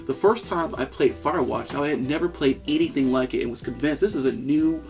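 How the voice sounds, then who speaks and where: A gravelly man chatting about video games on a podcast, upbeat and joking as he goes. A faint music bed sits underneath. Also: medium pitch, fairly quick. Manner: enthusiastic, laughs often, jokey asides. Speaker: podcast co-host.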